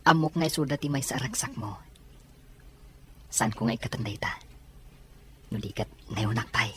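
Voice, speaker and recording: A man's voice: mid-range voice, moderate pace, slight background noise, close to an everyday voice. A man playing a caring, earnest suitor in a radio-style drama. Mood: reassuring. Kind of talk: Ilocano radio drama acting.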